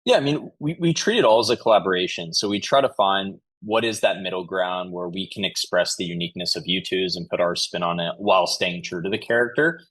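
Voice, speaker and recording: A man's voice: low-pitched, fairly quick, hardly any pauses, a bit bright, husky. A man answering interview questions in a conversational, explanatory way, often saying "you know". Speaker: podcast guest, company chief executive.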